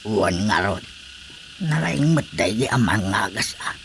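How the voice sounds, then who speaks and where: Man playing resigned Ilocano husband, very slow speech, animated intonation, radio drama. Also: on the low side, gravelly, a little hiss or hum, full character voice.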